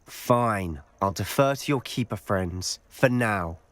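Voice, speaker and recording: A man's voice: on the low side, expressive, slow pace. A man playing an impatient, hot-headed teenage wizard student in a video game, intense and cutting. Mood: frustrated, distrustful. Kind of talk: video game cutscene acting.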